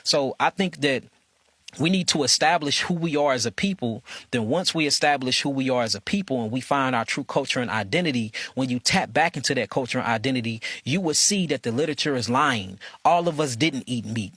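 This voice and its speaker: A man's voice: medium pitch, expressive, a little fast, fairly bright tone, husky, dry recording, clean studio sound. A man giving an impassioned, preachy monologue, repeating his phrases insistently. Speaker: speaker.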